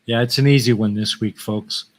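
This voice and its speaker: A man's voice: on the low side, steady tone, normal speed. A man with a Canadian accent co-hosting a casual livestream chat, easygoing, with short agreeing replies and laughs. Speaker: co-host of a livestream talk show.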